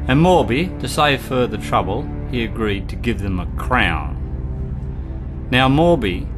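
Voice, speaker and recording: A man playing a plain-spoken bushman recalling an old dispute in colloquial storytelling for a radio play. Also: mid-range voice. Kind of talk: dramatised first-hand recollection in old bush vernacular. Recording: acoustic guitar music under the voice. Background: acoustic guitar music.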